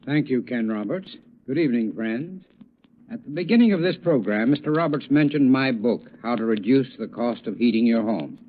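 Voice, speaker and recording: Male sponsor spokesman on thin, noisy vintage radio; formal, instructive, medium pitch. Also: lively intonation, normal speed. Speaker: heating expert, sponsor's spokesman. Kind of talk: scripted 1930s radio advertisement talk. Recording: thin, phone-like old radio with hiss.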